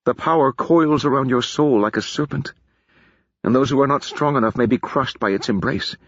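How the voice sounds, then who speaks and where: A man voicing a grave, earnest female wizard who speaks slowly and deliberately. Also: mid-range voice, no echo, voice pushed up, lightly acted. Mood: solemn. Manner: slow, solemn, deliberate. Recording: dull lo-fi recording.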